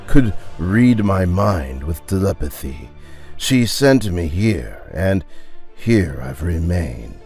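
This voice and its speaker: A man voicing a tall, half-ghost former scientist who speaks in a measured, courteous and reflective way, as in an audio story. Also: deep voice, a little mellow, pitched below the performer's own voice, heavily acted.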